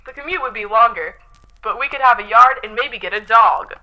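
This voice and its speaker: A woman's voice: fairly high pitch, brisk, lightly acted. A woman acting in a scripted 1920s radio show as a chipper radio personality. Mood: cheerful. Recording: dull lo-fi recording.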